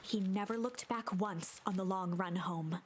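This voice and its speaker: A woman's voice: mid-range voice, unhurried, crowd noise, lightly acted. A woman narrating a mystery adventure game in a scripted storybook style. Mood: measured, suspenseful. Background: game music.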